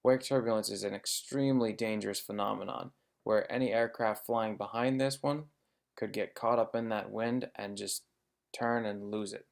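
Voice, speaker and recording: A man with a fairly low voice and a heavy creak walks through an informative slide talk. He explains things in plain, practical terms, like someone who does the job himself, with a little dry humour. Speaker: air traffic controller giving a presentation.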